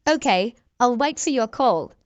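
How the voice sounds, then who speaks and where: Slow, high, lively woman teacher. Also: no room sound, dull audio. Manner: reads short phrases slowly and clearly, repeating each exchange. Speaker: English teacher. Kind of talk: reading practice dialogues for learners.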